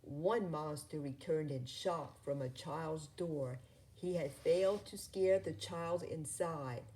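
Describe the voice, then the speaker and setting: Slow, low-voiced woman reading a storybook. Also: warm tone, a little hiss or hum. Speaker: storybook reader. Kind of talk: read-aloud children's story narration.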